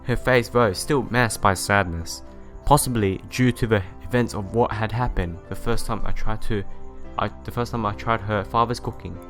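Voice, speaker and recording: A man's voice: on the low side, normal speed, hardly any pauses, a bit bright, soft background music. A man hosting a let's play, reading visual novel narration aloud and sometimes stumbling and correcting himself.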